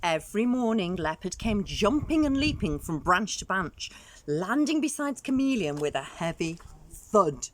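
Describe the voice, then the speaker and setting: A woman reads a picture book to young children. Her mid-pitched voice rises and falls expressively, and she breaks off for questioning asides. Faint noise and clicks are in the recording. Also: sing-song, measured pace. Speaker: storybook reader for young children. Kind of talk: expressive storytelling read aloud.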